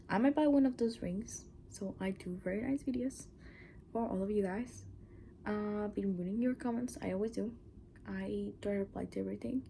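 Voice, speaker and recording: A woman's voice: mid-range voice, creaky, dark tone, a little hiss or hum. A woman from Mexico with a Mexican accent, Spanish as her first language, chatting in a casual personal vlog, rambling with asides and sometimes dropping to a whisper. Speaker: channel host.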